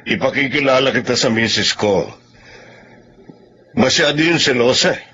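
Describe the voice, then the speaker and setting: An actor playing a considerate Filipino office boss in a radio drama, casual and chummy. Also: male voice, mid-range voice, moderate pace, bright, forceful tone, slightly rough. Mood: friendly. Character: friendly, considerate married male office boss. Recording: dull, noisy lo-fi drama recording.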